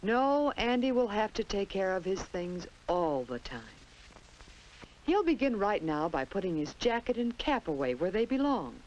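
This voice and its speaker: A woman's voice: mid-range voice, sing-song, moderate pace, darkish tone, slight background noise, rough recording. An American woman narrating a 1950s children's instructional film in a simple storytelling manner, on an old film soundtrack. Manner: simple, storytelling delivery addressed to young children.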